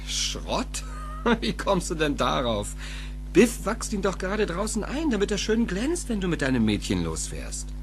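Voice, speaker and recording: A man's voice: sits high, wildly swooping pitch, measured pace, a little hiss or hum, full character voice. An actor playing a confident, genial suburban father in a film audio drama. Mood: genial, amused.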